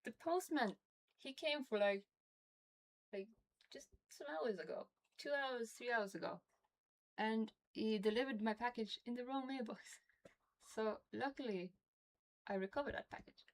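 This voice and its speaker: A woman's voice: on the high side, measured pace, pauses often, low-quality recording. A woman from Norway with a Norwegian accent, chatting casually on a game stream with a toothache, rambling and laughing often. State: has a toothache.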